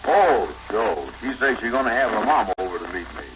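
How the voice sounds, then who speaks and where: A man acting a naive, slow-witted, lovestruck suitor with folksy, ungrammatical speech in a 1950s radio sitcom. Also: fairly low pitch, big pitch swings. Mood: easygoing. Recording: very noisy, dull vintage radio broadcast.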